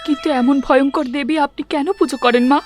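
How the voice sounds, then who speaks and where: A woman's voice: high-pitched, fairly even intonation, fast talker, faint music behind, lightly acted. An actress voicing a young new mother who asks her mother-in-law anxious, pleading questions. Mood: worried. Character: frightened young mother, new bride of the house.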